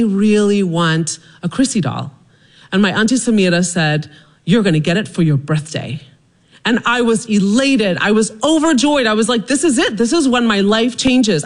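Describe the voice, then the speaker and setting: Woman telling a funny story on stage. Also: mid-range voice. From Maryland, USA. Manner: humorous, animated, self-deprecating. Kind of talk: live personal storytelling on stage. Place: stage before a live audience.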